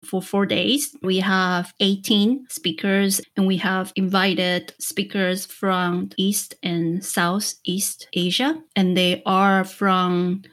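A woman from Taiwan, a media conservator, giving measured, thoughtful answers as a podcast guest. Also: medium pitch, flat delivery, a bit bright. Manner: measured, thoughtful, slow explaining.

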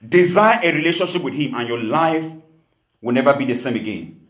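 A man's voice: medium pitch, lively intonation, brisk, fairly bright tone. A man from Cameroon preaching a sermon as pastor, emphatic, with laughing asides. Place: church hall. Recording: echoey church hall.

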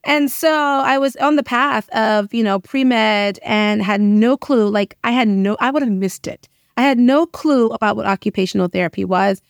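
A middle-aged woman with a fairly high voice speaks as a podcast guest. She tells her story expressively and emphatically, in casual language with frequent little check-ins. The sound is dry and close, with little room in it. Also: moderate pace, vocal fry, few pauses, no room sound. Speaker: interview guest, occupational therapist and educator. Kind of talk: animated personal storytelling in a casual interview.

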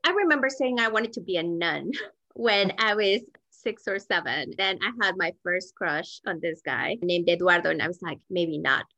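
A woman from Mexico whose first language is Spanish speaks as an interview guest. Her voice is bright and a little low, recorded very dry. She tells personal stories in a candid, informal way. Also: normal speed, no echo, dull audio. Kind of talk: conversational personal storytelling.

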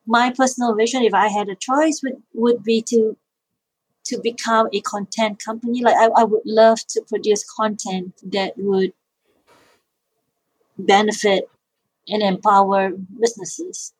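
A woman's voice: mid-range voice, little pitch movement, a little slow, slightly rough, rough recording. A middle-aged woman from Malaysia, answering interview questions thoughtfully, often repeating words as she restarts. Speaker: guest, social enterprise founder and IP lawyer.

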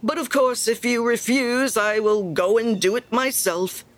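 A woman's voice: a little high, unhurried, pitched above the performer's own voice, natural voice. A woman voicing a pompous, self-satisfied male miller in a storybook, lecturing with sanctimonious emphasis. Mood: pushy. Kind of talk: storybook character reading.

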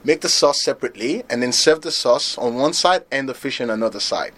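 A man from Nigeria, founder of a pop-up restaurant, talking in a relaxed, loose way as a guest in an interview. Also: mid-range voice, fairly quick. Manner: relaxed, loose, filler-heavy talk with laughs. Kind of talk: casual interview chat.

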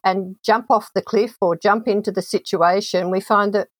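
A woman's voice: mid-range voice, steady tone, moderate pace, no room sound. A woman hosting an interview, telling stories in a reflective, conversational way. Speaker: host.

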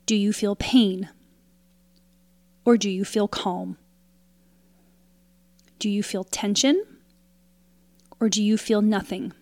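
A woman leading a guided meditation in a calm, soothing, instructional way, leaving pauses between short phrases. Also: mid-range voice, no echo. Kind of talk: guided meditation, scripted narration.

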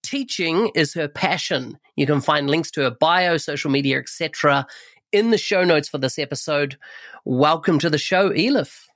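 Podcast host man, higher voice. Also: moderate pace, a bit bright. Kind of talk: podcast hosting, scripted intro.

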